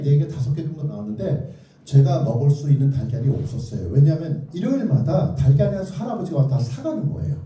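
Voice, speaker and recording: Male lecturer, high lively voice, very soft dark tone, theatrical storyteller, question-driven delivery, distant echoey recording. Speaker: children's author and lecturer. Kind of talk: interactive lecture with questions to the audience. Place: indoor lecture room with strong echo. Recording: very echoey room, distant microphone.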